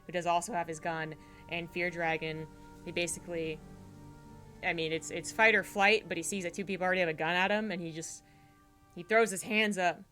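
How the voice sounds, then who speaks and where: A woman's voice: fairly low pitch, little pitch movement, fairly quick, fairly bright tone, soft background music. A woman narrating a tabletop horror game as game master, rambling with fillers and restarts.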